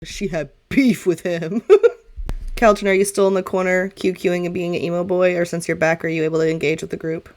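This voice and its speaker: A woman with a slightly low voice narrates a tabletop roleplaying game. She is casual and chatty as game master, playful in how she talks to the players. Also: steady tone, fairly quick, a little mellow.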